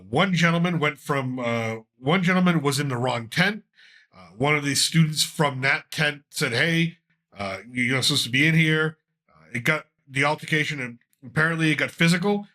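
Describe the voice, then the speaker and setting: A congested man hosts a casual talk show, his voice bright and a little raised in pitch. He rambles loosely through the news, pausing with frequent fillers as he goes. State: congested from a cold. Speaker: podcast host.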